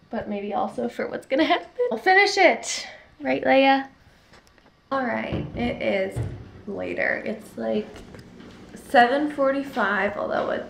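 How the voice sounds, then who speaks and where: A woman's voice: a little high, gravelly, a little hiss or hum. A young woman vlogging casually to a handheld camera at home, chatty and rambling, with a stuffy nose and a sore throat. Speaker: book vlogger. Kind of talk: casual vlog chat to camera. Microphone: handheld camera held at arm's length.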